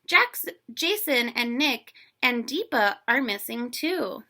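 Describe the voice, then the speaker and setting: Storybook schoolgirl read by a woman, take-charge and keen, higher-pitched and measured in pace. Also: big pitch swings, pushed and bright, full character voice. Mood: concerned. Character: eager schoolgirl taking charge of a germ mystery. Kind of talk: children's storybook dialogue.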